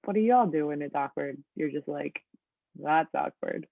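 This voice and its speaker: Chatty late-20s teacher on a thin call line. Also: female voice, low voice, lively intonation, moderate pace, gravelly, no echo. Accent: Californian English. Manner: chatty, upbeat, lots of ums and likes. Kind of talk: online class, casual teaching. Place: online video class. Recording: thin phone-like audio over a video call.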